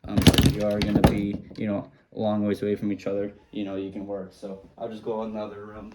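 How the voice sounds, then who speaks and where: A man's voice: lowish voice, completely flat delivery, quick pace, darkish tone. A man giving a casual product demonstration, explaining simple steps in an easygoing, informal way. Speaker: product reviewer.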